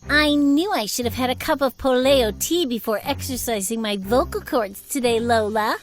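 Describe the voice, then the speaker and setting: A woman playing a vain, grand singing queen of the dead in an animated film, haughty, languid and self-satisfied. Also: a little high, measured pace, faint music behind. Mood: fussy.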